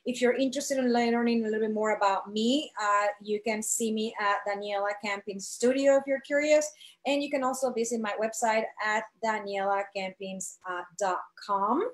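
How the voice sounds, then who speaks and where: Woman instructor explaining in halting phrases. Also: a little high. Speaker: art college instructor giving a product demonstration. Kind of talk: live instructional demo, explanatory.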